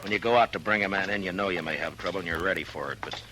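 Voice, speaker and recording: An American man acting a stern, weary frontier marshal in 1950s radio drama, terse and forceful. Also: deep voice, rapid-fire, a little hiss or hum. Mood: weary, edgy. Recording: vintage radio recording with music.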